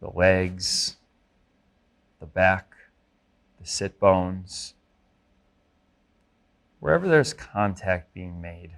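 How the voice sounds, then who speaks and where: Low-pitched man guiding a meditation. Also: animated, creaky. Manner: gentle, measured, instructive. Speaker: mindfulness meditation instructor. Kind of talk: guided body scan meditation.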